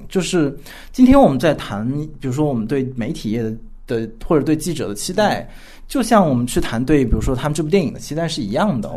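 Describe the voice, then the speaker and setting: A man's voice: on the high side, dark tone. A man speaking as a guest commentator in an analytical discussion, reflective and rephrasing as he builds his argument step by step. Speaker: guest commentator, journalist and editor.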